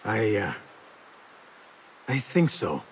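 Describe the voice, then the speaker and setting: Earnest, expressive young apprentice assassin. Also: male voice, lowish voice, drawn-out words, a little hiss or hum, exaggerated voice. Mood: hesitant. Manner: deferential, earnest. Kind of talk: scripted video game cutscene acting.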